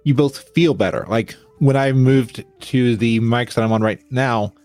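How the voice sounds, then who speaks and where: A man's voice: mid-range voice, a little slow, a little mellow, faint music behind. A man hosting a podcast interview, chatting enthusiastically about his studio mic gear. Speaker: podcast host. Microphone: studio dynamic mic.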